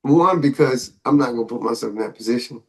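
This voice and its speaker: A man's voice: mid-range voice, fast. A man co-hosting a casual podcast, giving slangy, opinionated takes on hip-hop and pop culture news with exaggerated asides. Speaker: podcast co-host.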